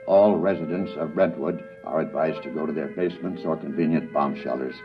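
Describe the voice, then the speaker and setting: A formal, authoritative newsman with a deep voice. He delivers grave bulletins in an urgent 1950s radio manner. The sound is dull, with music clearly behind him. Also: brisk. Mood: urgent, official. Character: solemn male radio news announcer reporting disaster. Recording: muffled 1950s radio broadcast.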